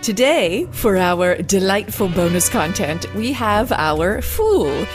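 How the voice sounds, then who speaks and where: A woman's voice: mid-range voice, big pitch swings, slow, over music. Lady podcast host, interviewing a guest and doing intros, regular midrange voice.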